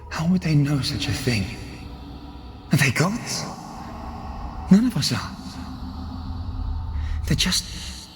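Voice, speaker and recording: A gentle, protective young lover in a dramatic game scene. He speaks slowly and soothingly, with earnest care, over clear ambient noise. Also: a little high, sing-song. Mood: earnest, reassuring. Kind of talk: dramatic video game acting.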